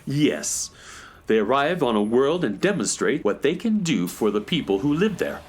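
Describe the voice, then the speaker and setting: Man acting a pompous, animated space handyman hero. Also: mid-range voice, normal speed, breathy edge. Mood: explaining. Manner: pompous, formal, explanatory. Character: male cosmic handyman, lofty, all-knowing master problem-solver. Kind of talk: children's space radio drama.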